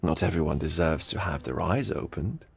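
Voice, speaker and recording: A man playing a sly, hedonistic, eccentric art gallery owner in a video game, with drawn-out theatrical philosophizing. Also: extremely low pitch, gravelly, husky, full character voice. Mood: intrigued, grave. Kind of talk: video game cutscene acting.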